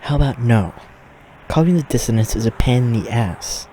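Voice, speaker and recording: A man's voice: medium pitch, animated, moderate pace, warm tone, slight background noise, natural voice, rough recording. A man playing a flirty, teasing demon who reports and hosts in a fiction podcast. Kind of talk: fiction podcast banter and monologue.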